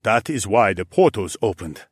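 A man voicing a serious, formal eldest Korean brother who speaks deliberately in an audiobook. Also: on the low side, big pitch swings, husky, no room sound, studio quality. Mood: grave.

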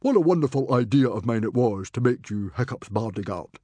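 A man voicing a proud, booming middle-aged Viking chief who bellows and blusters. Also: lively intonation, fairly quick, dark tone, slightly rough, no echo. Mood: boisterous pride.

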